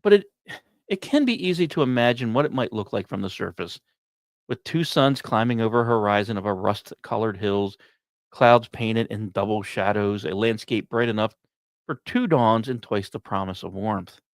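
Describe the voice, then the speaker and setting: A man hosting a science podcast, reading scripted narration with casual asides and musing, dramatic pauses between short phrases. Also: on the low side, no echo. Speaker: podcast host.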